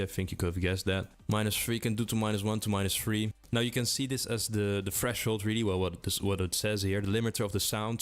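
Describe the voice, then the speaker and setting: Man presenter, quick relaxed delivery, slightly low voice, talks straight to the viewer. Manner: relaxed, chatty, addresses viewer directly. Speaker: tutorial presenter. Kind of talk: explaining audio settings step by step.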